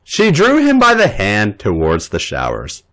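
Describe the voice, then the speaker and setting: A man hosting a podcast, reading fan fiction aloud in an expressive storytelling manner. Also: on the low side, measured pace, background noise. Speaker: podcast host and reader.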